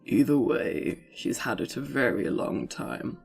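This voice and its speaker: A woman's voice: lively intonation, a little mellow, faint music behind, heavily acted. A woman voicing a sly, unimpressed storybook cat with a silky, drawling delivery. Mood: aloof, knowing. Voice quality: smooth, low, silky. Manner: drawling, conversational, deliberate. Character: sly, unimpressed talking cat, smug and languid.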